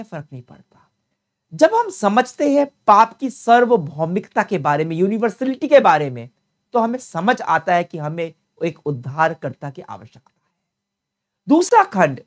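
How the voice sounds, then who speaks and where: An older man teaching a Bible study with a sore throat, earnest and explanatory, repeating key terms, speaking into a lapel mic in a study. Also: high voice.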